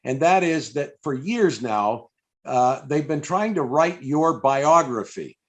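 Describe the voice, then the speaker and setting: A man's voice: a little high, animated, slow. A man hosting a live interview over a video call, effusive and often repeating and restarting his phrases. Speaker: interviewer and event host. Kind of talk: live interview for an old-time radio fan event.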